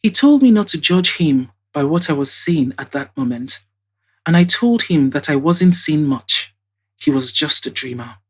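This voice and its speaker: A woman's voice: low voice, animated, normal speed, slightly rough. A woman voicing a chatty, scornful female witness in an audio drama, telling things emphatically with indignant asides.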